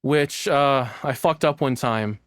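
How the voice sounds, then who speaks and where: A man's voice: mid-range voice, slow, a bit bright, clear, resonant tone, no room sound. Male reaction streamer, mid-range voice, unscripted and rambling, foul-mouthed joking, very dry sound. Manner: rambling, sweary, jokey. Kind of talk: casual off-the-cuff reaction commentary.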